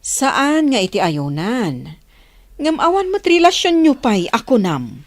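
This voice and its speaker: A woman's voice: on the high side, very animated, takes it slowly, full character voice. An actress playing a blunt, teasing female confidante in a radio drama.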